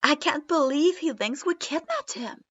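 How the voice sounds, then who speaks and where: A woman doing a loud, hot-headed young man. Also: high-pitched, moderate pace, no echo, voice pushed up. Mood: yelling. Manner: yelling, excitable. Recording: dull lo-fi recording.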